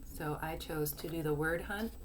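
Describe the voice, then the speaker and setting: A woman teacher giving a lesson and read-aloud for young children, explaining simply and deliberately, step by step. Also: low voice, background noise. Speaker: early primary school teacher.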